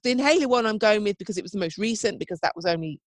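A woman's voice: medium pitch, expressive, very fast, very noisy. A woman co-hosting a podcast, a bit wheezy with a cough, telling a story in a chatty way. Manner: chatty storytelling with frequent "oh my God" and laughs. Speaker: podcast co-host. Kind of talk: casual chat.

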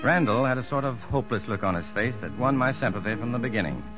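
A firm but humane American prison doctor, acted by a man, mid-pitched with expressive rise and fall. He speaks in measured, educated, authoritative phrases. It sounds like a muffled vintage radio drama. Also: over loud music, natural voice. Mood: reflective. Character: firm, humane male prison doctor, first-person narrator. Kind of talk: 1950s radio drama acting and narration.

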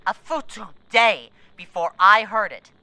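An actress playing an embattled, sarcastic moon base officer in an audio drama, indignant and combative. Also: female voice, medium pitch, a little slow, pushed and bright, no echo, poor audio. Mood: bitter, sarcastic.

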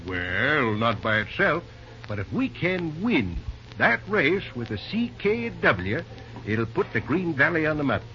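A folksy old railroad boss. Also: male voice, a little high, very animated, measured pace, pushed and bright, faint music behind, heavily acted. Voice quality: rural drawl, says ain't and reckon. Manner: chatty, easygoing, repeats words. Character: folksy older male railroad manager, fatherly. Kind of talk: 1930s radio acting. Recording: dull old radio transcription with faint hiss.

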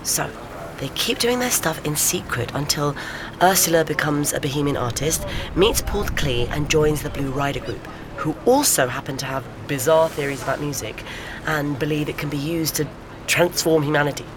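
An eager, theorizing woman sleuth, played by an actress in an audio drama. She talks in a natural, conversational way, animated and insistent. Clear ambient noise runs behind her voice. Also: deep voice, moderate pace, natural voice. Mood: confident.

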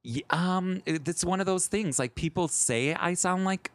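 A man's voice: high voice, moderate pace, no echo. A man from Chicago with a Chicago accent, co-hosting a podcast in playful casual chat. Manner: playful, laughs easily. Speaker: podcast co-host.

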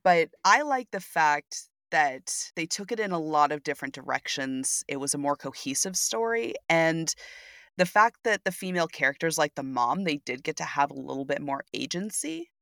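A woman co-hosting a podcast, chatting casually with a very expressive, inflection-rich delivery. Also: low-pitched, moderate pace, no echo, clean studio sound. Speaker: podcast co-host. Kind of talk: casual chat.

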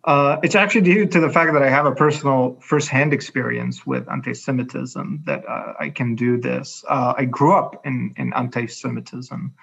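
A man from Egypt with an Egyptian Arabic accent, an educator speaking as an interview guest in a halting way, searching for words. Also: medium pitch, fairly even intonation, gravelly, fairly bright tone, breathy edge. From Cairo, Egypt. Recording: dull, muffled lo-fi audio.

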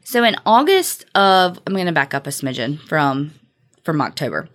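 Woman, American accent, lower pitch, casual podcast storytelling, informal, unscripted. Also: sing-song, moderate pace. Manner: informal, joking asides, swears freely. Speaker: true-crime podcast co-host.

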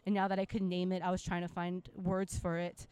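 A woman's voice: lowish voice, monotone, a little fast, warm tone, clean tone, dry recording. A woman from Japan speaking passionately and casually as an interviewed musician, peppering her talk with "like" and "you know.". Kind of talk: casual, opinionated interview chat.

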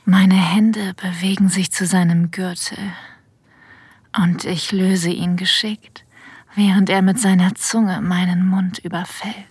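A woman playing a sensual, confident boss in an erotic audio drama, narrating intimately in a breathy whisper, ASMR style. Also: fairly low pitch, level tone, a little mellow, no room sound, heavily acted. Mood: aroused, intimate. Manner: slow, intimate, deliberate. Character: female boss having a secret affair, sensual and confident. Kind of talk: ASMR-like scripted first-person narration. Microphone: close, dry studio mic.

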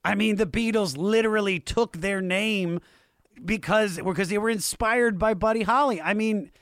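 A man's voice: high-pitched, normal speed, a bit bright, no room sound, clean studio sound. An American man hosting an enthusiastic, conversational music show, slangy and emphatic, repeating phrases for stress.

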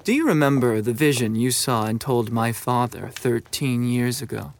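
An actor playing a cold, dismissive ruler and elder brother in a fantasy audio drama, with a stern, commanding manner. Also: male voice, medium pitch, expressive, moderate pace, natural voice. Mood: cold, skeptical.

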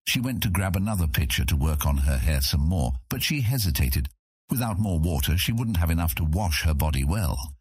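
A man's voice: deep voice, lively intonation, a little fast, husky, no room sound, studio quality. A man reading scripted fantasy narration as an audiobook narrator.

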